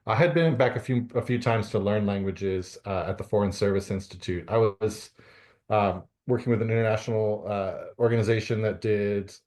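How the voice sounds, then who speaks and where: A man's voice: fairly low pitch, normal speed, a little mellow. A middle-aged man from Canada answering interview questions, with many fillers and restarts. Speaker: guest author.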